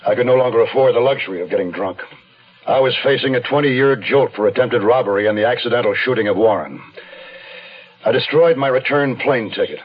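An actor playing a desperate, wounded gambler on the run, narrating tersely and broodingly in a 1970s radio noir drama. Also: male voice, mid-range voice, fairly quick, husky, close to an everyday voice. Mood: fearful, grim. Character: desperate hunted male gambler, wounded, narrating his downfall. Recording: dull 1970s radio recording, music underneath.

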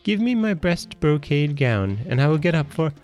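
Young dragon queen, played by a man, storybook: regal, blithe, higher register, lilting range, old-fashioned phrasing. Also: sing-song, moderate pace, a little mellow, soft background music. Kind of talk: storybook character voice.

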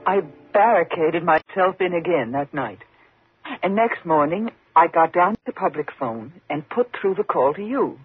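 An actress playing an agitated, pleading, frightened woman in a 1950s radio thriller, speaking haltingly. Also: on the low side, expressive, a little hiss or hum, close to an everyday voice. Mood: anxious, explaining. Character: frightened, insistent woman haunted by a convict. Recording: muffled, lo-fi 1950s radio recording.

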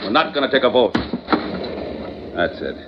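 A man's voice: medium pitch, big pitch swings, moderate pace, close to an everyday voice. An actor playing a menacing, growling gunman in 1940s radio crime drama, curt and mocking. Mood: impatient, threatening. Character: menacing tough gangster gunman, curt and taunting. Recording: noisy muffled old radio broadcast.

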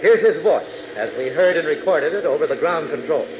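Man acting an earnest major, clear background noise. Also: fairly high pitch, expressive, normal speed, lo-fi sound, lightly acted. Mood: businesslike. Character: earnest, insistent male military major demonstrating evidence. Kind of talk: 1940s radio serial acting. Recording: noisy old radio recording.

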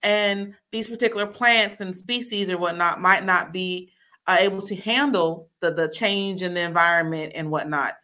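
A woman's voice: fairly low pitch, steady tone, moderate pace, bright, forceful tone. A woman hosting a casual interview, chatty and laughing often, who spoke Korean first as a child. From raised in a military family. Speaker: host.

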